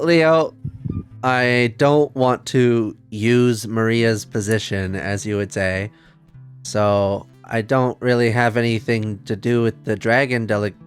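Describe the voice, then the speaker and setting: A man playing a tabletop game, improvising casually and thinking out loud as he goes. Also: mid-range voice, smooth.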